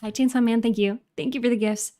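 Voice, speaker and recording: A woman hosting a livestream, chatting casually and reading out comments in a halting, polite way. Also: a little high, steady tone, dark tone. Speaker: livestream host.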